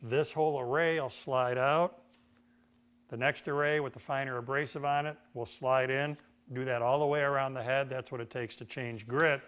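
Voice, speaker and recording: A man's voice: medium pitch, steady tone, normal speed, fairly bright tone, clean tone. A middle-aged man with an American accent giving an informal, folksy hands-on instructional demonstration as a woodworking teacher. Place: indoor woodworking shop.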